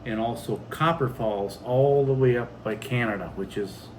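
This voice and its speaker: A man's voice: medium pitch, moderate pace. A middle-aged man chatting casually as a homestead vlog co-host, recounting things plainly in short phrases. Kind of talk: casual chat. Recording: clear steady background noise, like ventilation.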